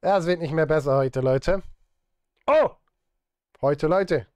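A man's voice: fairly high pitch, slow, a little mellow, dry recording. A man, chatting casually and playfully on a livestream while he works. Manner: playful, talking to chat and himself. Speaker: music streamer and composer. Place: small indoor room, livestream setup.

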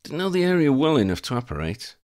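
A man's voice: fairly high pitch, sing-song, quick pace, a little mellow, voice pushed up. A man voicing a stubborn young male wizard hero in an audiobook reading, brash and defiant. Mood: determined.

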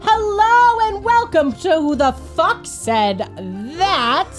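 A woman's voice: extremely high pitch, big pitch swings, slow, faint music behind, voice pushed up, full character voice. A woman playing a mock-sweet, sardonic game show host who humors contestants in a comedy sketch.

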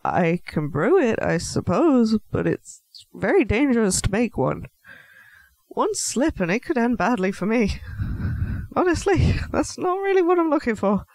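A man plays a clumsy male half-elf alchemist for a fantasy audio roleplay. The voice is high and very animated, recorded dry and close. He fusses politely, stumbling over words and apologizing like a scatterbrained shopkeeper. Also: a little slow, no room sound, full character voice, poor audio. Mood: anxious, bargaining. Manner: flustered, stammering, apologetic, politely chatty. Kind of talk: scripted fantasy audio roleplay addressed to the listener.

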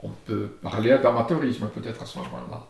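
A man's voice: medium pitch, steady tone, a little fast, creaky, darkish tone. Older man with a southern French accent. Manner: thoughtful, gesturing, frequent hesitations. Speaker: archaeologist. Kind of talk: filmed interview, reflective. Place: study lined with bookshelves, indoors. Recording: roomy study sound.

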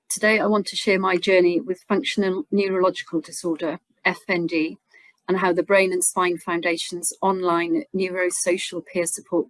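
Woman reading a story out on a video call, lowish flat voice, dry sound. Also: no echo, rough recording. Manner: steady, formal reading. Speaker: charity staff member reading a patient's story. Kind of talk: reading aloud a prepared text.

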